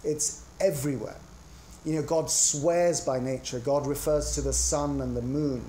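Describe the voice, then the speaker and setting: There is some background noise behind a man with an English accent. His voice is relaxed and mellow, sitting at a middling pitch. He delivers an academic talk thoughtfully, halting often as he searches for the next phrase. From England. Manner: hesitant, halting, reflective. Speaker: conference speaker. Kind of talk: academic talk on religion, partly improvised. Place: indoor conference presentation.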